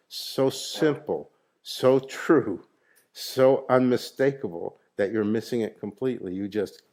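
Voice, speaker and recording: A man with a low-pitched voice leads a spiritual gathering, questioning his listeners and pressing his points with emphasis. He speaks into a handheld microphone in a room with an audience. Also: darkish tone. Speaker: spiritual teacher leading satsang. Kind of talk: interactive spiritual teaching with a group.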